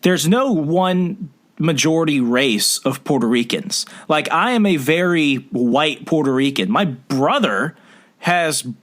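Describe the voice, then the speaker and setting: A man's voice: a little high, unhurried. A man hosting a podcast, chatting casually with a guest, joking and drifting into rants. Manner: animated, joking, prone to rants. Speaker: podcast host. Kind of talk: casual conversation.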